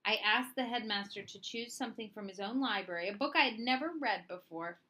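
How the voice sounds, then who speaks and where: A woman reading a children's story aloud in an expressive narrating style. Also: mid-range voice, a little fast. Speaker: storybook reader.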